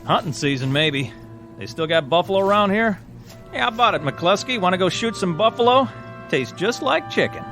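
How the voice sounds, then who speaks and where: A man's voice: high-pitched, animated, normal speed, over music. A man playing a sarcastic, cocky, teasing National Guard tank corporal in a radio drama.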